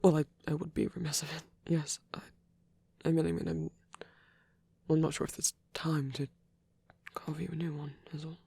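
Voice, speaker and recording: A man playing a breathy, gentle vampire priest. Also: a little high, very animated, no room sound. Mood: flustered, hesitant. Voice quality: breathy, husky, half-whispered. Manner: hesitant, halting, apologetic, rambling. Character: gentle, shy, bashful male vampire priest. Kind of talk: intimate ASMR roleplay.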